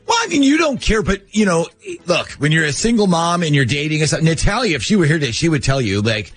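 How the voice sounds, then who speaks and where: A man's voice: on the high side, very animated, fast talker, a bit bright, soft background music. A man hosting a radio show, chatting casually. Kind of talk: casual, animated radio chat.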